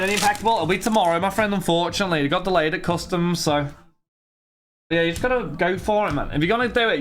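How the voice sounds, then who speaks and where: A young man in his twenties, talking loosely and chattily as he answers viewers during a live stream. His voice sits a little high for a man, and he rambles from one thought to the next. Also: fairly bright tone, slight background noise. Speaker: streamer opening trading cards. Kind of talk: casual live-stream chat.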